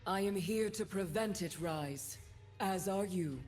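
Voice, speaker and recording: A woman playing a proud, imperious fantasy ruler in a video game, with a stately, commanding, breathy delivery. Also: mid-range voice, unhurried, darkish tone, faint music behind, lightly acted. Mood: resolute.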